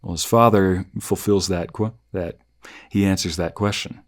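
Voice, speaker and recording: A man talking through a conversational review as a host, reflective and halting, often rephrasing his thoughts as he goes. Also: sits low, steady tone. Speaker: host of story review channel, narrator.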